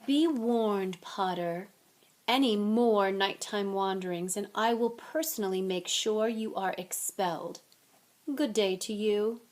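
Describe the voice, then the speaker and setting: A smooth, menacing schoolmaster, played by a woman reading a storybook. He speaks slowly and silkily, with a cool threat in every measured line. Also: medium pitch, a little mellow, lightly acted. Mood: threatening. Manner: slow, silky, threatening.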